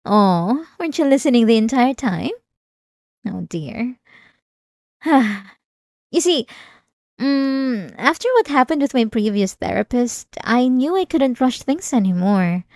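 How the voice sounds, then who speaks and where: A woman playing an obsessive, manipulative therapy patient in an ASMR roleplay, speaking softly and politely with an unsettling, menacing calm. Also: a little high, slow, dry recording, clean studio sound. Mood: possessive, coy.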